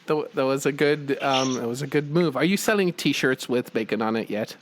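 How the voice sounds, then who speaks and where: A man's voice: medium pitch, normal speed. A man hosting a podcast, asking friendly, curious questions and often chuckling. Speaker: podcast host. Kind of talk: conversational interview.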